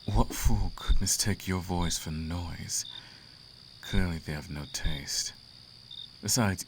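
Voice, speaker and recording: A man's voice: low-pitched, noisy background. A man playing a suave, flirtatious, imperious demon prince in an intimate roleplay monologue, teasing and silky with grand formal phrasing. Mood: imperious, reassuring. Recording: clear outdoor cricket ambience behind.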